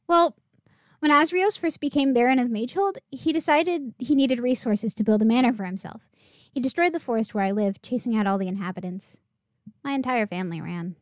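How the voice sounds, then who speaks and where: A woman's voice: fairly high pitch, a little fast, no room sound, studio quality, close to an everyday voice. A woman playing a naive, earnest young girl herbalist in a fantasy audio drama. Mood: wistful, sad. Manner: childlike, earnest, guileless.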